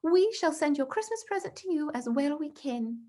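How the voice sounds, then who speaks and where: A woman's voice: high voice, lively intonation, normal speed, warm tone, pitched above the performer's own voice. A woman voicing a caring mother in a storybook, sad, tender and reassuring as she reads out her letter.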